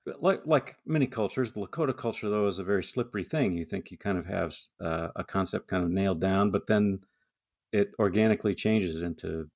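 A man's voice: low voice, a little fast, darkish tone. A man hosting a podcast, conversational and asking questions. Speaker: podcast host. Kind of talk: podcast interview.

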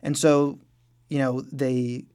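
Male musician guest, medium pitch, informal conversational storyteller in an interview. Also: level tone, slow, darkish tone, clear, resonant tone. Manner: informal, anecdotal, quotes his own reactions. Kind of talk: casual conversational interview answers.

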